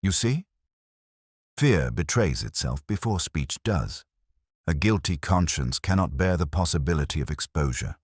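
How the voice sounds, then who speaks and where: A man voicing a calm, mild police inspector who makes measured, gently pointed speeches in a detective audiobook. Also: a bass voice, breathy edge, no echo, clean studio sound, heavily acted. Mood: quietly accusing. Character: mild, calm male police inspector delivering quiet reveals.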